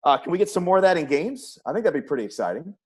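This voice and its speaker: A man's voice: a little high, sing-song, rapid-fire. A middle-aged American man hosting a conversational sports interview. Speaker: sports interview host, play-by-play announcer.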